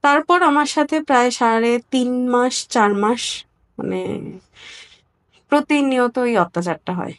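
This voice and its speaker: A woman from Bangladesh giving a personal account as an interview guest, candid and somewhat halting. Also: a little high.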